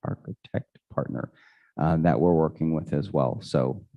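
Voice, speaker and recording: A man's voice: a bass voice, a little slow, creaky, no room sound. A man answering residents' questions at a community meeting, hesitant and full of fillers and restarts. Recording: dull, dry lo-fi audio.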